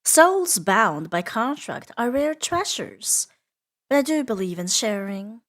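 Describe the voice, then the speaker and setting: A woman voicing a seductive, menacing and playful female demon in a roleplay ASMR audio drama, teasing and imperious, drifting into breathy whispers. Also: fairly high pitch, sing-song, slow, fairly bright tone, exaggerated voice. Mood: pleased, domineering.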